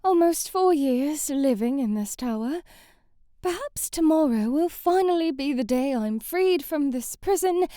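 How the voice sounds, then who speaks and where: A woman's voice: high-pitched, expressive, a little slow, mellow, dry recording, studio quality. A woman playing a sheltered, romantic young princess in an ASMR audio drama, with flowery, theatrical storybook phrasing. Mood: wistful, lonely. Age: young adult. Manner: theatrical, flowery, prim storybook phrasing, sighing, often half-whispering.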